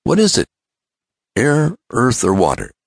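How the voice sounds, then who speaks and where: A man's voice: medium pitch, wildly swooping pitch, unhurried, creaky, breathy edge, no echo, clean studio sound, pitched above the performer's own voice, heavily acted. A man voicing an eager, joking young male friend of an inventor in an adventure storybook, breezy, exclamatory and full of questions. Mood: surprised, eager.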